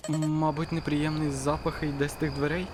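A man's voice: a little high, little pitch movement, normal speed, background noise, lightly acted. A man voicing a wary male hunter who tracks a killer wolf and talks to himself in a hesitant way. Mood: uneasy. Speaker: voice actor in a fan dub. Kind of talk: dubbed analog horror narration.

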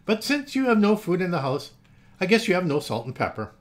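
A man voicing a plucky young female duck traveler in a storybook, with a raised, bright, coaxing tone. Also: high voice, sing-song, brisk, pitched above the performer's own voice.

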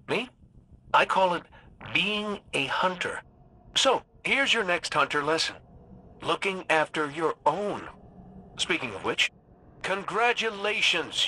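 An actor playing a cocky, wisecracking robot gunslinger in a video game, with an American accent and a wry, folksy, sardonic way of talking. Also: male voice, medium pitch, bright, forceful tone, slight background noise, low-quality recording. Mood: playful. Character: cocky wisecracking male robot gunslinger, roguish hunter leader. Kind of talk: video game voice acting, recorded farewell messages.

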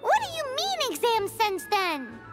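A woman voicing a tiny, childlike female fairy companion in an anime video game, squeaky, excitable and cheeky. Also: a little slow, faint music behind, exaggerated voice. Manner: talks of self in third person.

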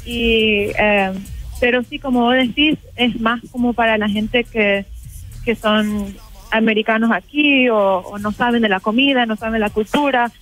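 A woman's voice: a little high, fairly even intonation, moderate pace, a bit bright, faint music behind, rough recording. Young woman talking hesitantly by phone. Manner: hesitant, mixing in English words. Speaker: café owner, phone guest. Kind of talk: casual phone interview. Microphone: phone line.

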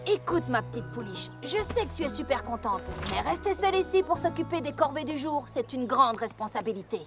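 A woman's voice: high-pitched, animated, a little fast, music in the background. A woman voicing a protective young adult big-sister farm pony in a cartoon, affectionate and fretting. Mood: caring, serious. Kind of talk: animated series voice acting.

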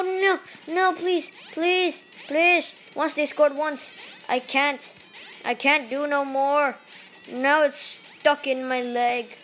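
A woman from Malaysia with a Malaysian accent, giving excitable let's-play commentary into a tablet microphone held up to her face, yelping and pleading at the game. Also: high voice, rough recording. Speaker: gaming channel host.

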